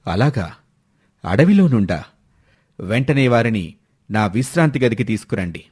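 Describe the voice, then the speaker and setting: A man voicing a calm, benevolent king who speaks formally in a cartoon moral story. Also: fairly low pitch, a little slow, slightly rough, full character voice. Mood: calm, curious. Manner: stately, formal.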